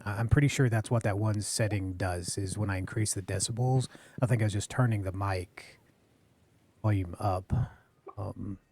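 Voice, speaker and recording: A man streaming a farming game, chatting casually into a close boom-arm mic, rambling and apologetic, with a deep voice he keeps low. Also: steady tone, normal speed, darkish tone, a little hiss or hum. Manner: rambling, self-correcting, apologetic, talks low. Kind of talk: casual live-stream chat while gaming. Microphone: studio mic on a boom arm, close to the face.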